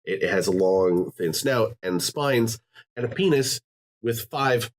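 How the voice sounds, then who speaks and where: Male podcast host, low-pitched and animated, joking around casually. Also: measured pace. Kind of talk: casual chat.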